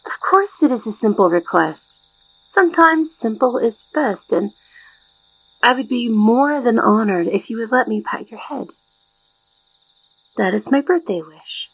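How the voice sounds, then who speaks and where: A shy young maid, played by a woman in an intimate ASMR roleplay. Her voice is high and breathy with a creaky edge, and she speaks with devoted, formal politeness and flustered hesitation. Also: expressive, unhurried, pauses often, no echo, poor audio. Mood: shy, tender. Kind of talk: soft ASMR roleplay acting.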